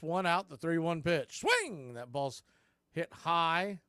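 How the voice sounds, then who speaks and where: A man calling baseball play-by-play as a radio announcer, in clipped, folksy phrases that range from quiet to excited. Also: fairly high pitch, smooth, dry recording, studio quality. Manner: swings from hushed calls to excited shouts. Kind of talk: live radio play-by-play commentary.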